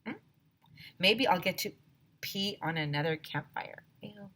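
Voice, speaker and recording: Bright-voiced woman reading a children's story. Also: fairly low pitch, measured pace. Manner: animated, emphatic, addresses young listeners directly. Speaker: teacher reading aloud. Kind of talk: expressive children's story read-aloud.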